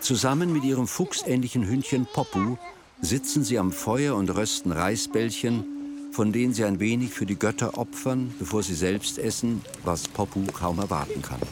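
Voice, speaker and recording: A man reading a scripted, descriptive radio narration in a measured storytelling manner. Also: lowish voice, expressive. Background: music.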